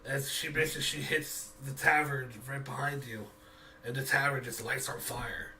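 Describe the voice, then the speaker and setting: A man improvising as game master, narrating in a halting, think-aloud way. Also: mid-range voice, little pitch movement, pushed and bright, far from the mic, a little hiss or hum, rough recording.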